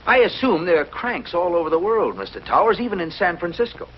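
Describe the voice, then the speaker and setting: Earnest small-town editor, animated. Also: male voice, high-pitched, a little fast. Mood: earnest, indignant. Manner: formal, pointed, defensive. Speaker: actor in a TV drama. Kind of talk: 1950s television drama acting. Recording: muffled, hissy old TV soundtrack.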